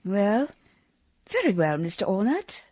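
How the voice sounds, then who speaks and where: A woman's voice: medium pitch, sing-song, unhurried, a little mellow. An actress playing a prim, proper, easily flustered lady in a radio play, formal and a little hesitant. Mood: prim, reserved. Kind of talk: old-time radio play acting.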